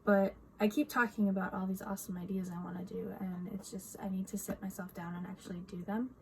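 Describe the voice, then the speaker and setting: A woman's voice: medium pitch, little pitch movement, quick pace, creaky. Woman with a slightly scratchy cold voice. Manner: soft-spoken, frequent ums and small laughs. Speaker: vlogger. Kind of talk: casual journal walkthrough. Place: home room with outside noise.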